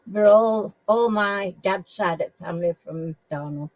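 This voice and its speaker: A middle-aged woman from Sheffield with a Sheffield accent, hosting a casual live chat with viewers in a slow, homely way and often clearing her throat. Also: mid-range voice, a little mellow, no echo, rough recording. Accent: Sheffield English. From Sheffield, England. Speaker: live stream host.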